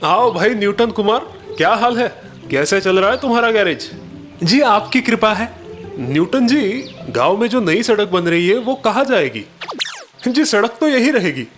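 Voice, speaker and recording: A man voicing chatty, quick-witted village men trading banter in a cartoon story. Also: sits high, very animated, a bit bright, faint music behind, voice pushed up.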